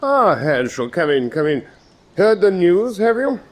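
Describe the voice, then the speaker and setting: An actor playing a vain, self-satisfied British naval commander in a radio drama, with pompous officer speech. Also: male voice, sits high, very animated, a little slow. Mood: pleased.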